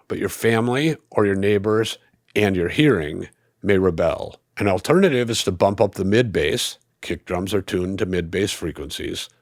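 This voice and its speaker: A man delivering a scripted review in a measured, analytical, explanatory way. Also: sits low, no room sound, clean studio sound. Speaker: audio equipment reviewer.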